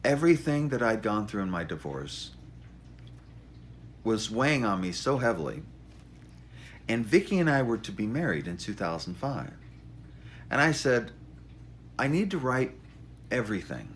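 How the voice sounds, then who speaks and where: Male author, informal monologue. Very expressive pitch, wry and self-deprecating, some background noise. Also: moderate pace, pauses often. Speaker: author introducing his memoir. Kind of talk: informal spoken monologue, storytelling.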